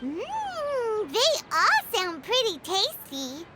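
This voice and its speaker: A woman voicing a tiny, excitable, childlike fairy companion in a video game, chatty and a bit whiny. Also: very high-pitched, very slow, very noisy, full character voice. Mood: curious. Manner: refers to herself in the third person. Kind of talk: animated video game voice acting.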